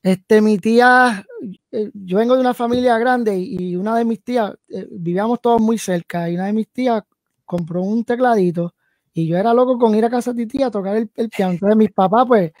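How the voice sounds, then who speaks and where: A man's voice: high voice, brisk, darkish tone, no room sound. A man in his late thirties from New Haven, Connecticut, chatting as a guest musician on a video call from his home studio, rambling and thinking aloud with many fillers. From New Haven, Connecticut, USA. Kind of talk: casual interview chat. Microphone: headset and video call.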